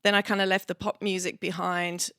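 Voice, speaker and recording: A woman's voice: on the low side, flat delivery, a little fast, sharp tone, smooth, no room sound, clean studio sound. A woman in her fifties, a songwriter and sound engineer, chatting as a guest in a casual, self-deprecating way and laughing often. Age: 50s. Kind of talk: casual interview chat.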